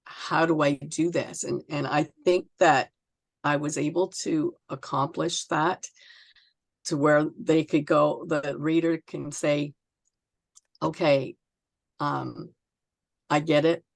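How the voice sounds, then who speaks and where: A woman author being interviewed, answering conversationally in a reflective, halting way as she searches for words. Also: low-pitched, steady tone, creaky, dry recording.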